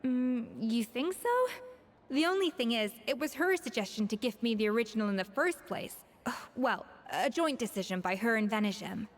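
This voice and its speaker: A woman voicing a proud, exacting young female master chef in a video game, crisp and self-assured. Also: fairly high pitch, expressive, moderate pace. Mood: musing. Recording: game music behind voice.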